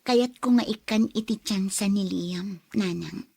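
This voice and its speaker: A woman's voice: mid-range voice, unhurried, creaky, warm tone, husky, dry recording. A woman acting a troubled young daughter in love in a radio drama. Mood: heartfelt.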